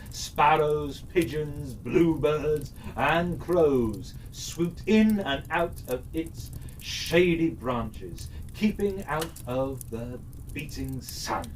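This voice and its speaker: Slow, animated storyteller, slightly distant mic. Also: mid-range voice, a little hiss or hum. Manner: dramatic, deliberate phrasing. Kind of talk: expressive children's fairytale narration.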